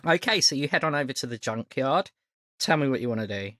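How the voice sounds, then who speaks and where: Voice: mid-range voice, expressive, fast talker, fairly bright tone, no room sound. A game master improvising narration for a tabletop roleplaying game.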